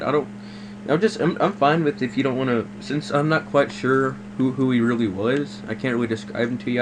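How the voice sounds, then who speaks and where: A man making a polite phone complaint, hesitant and repetitive, circling back over his words. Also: medium pitch. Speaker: prank caller posing as a customer. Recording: faint background noise on recorded call.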